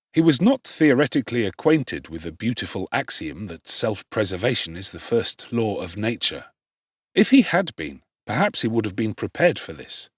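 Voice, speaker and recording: A man's voice: fairly low pitch, slightly rough, dry recording, studio quality. A man narrating a classic novel in a dramatic, theatrical and emphatic style with precise delivery. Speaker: audiobook narrator.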